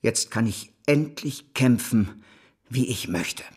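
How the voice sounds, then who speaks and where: Husky, hot-tempered banker. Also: male voice, medium pitch, slow pace. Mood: combative. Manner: blustering, scornful, emphatic. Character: ruthless, hot-tempered French banker, paranoid and combative. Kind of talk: German radio drama acting, historical.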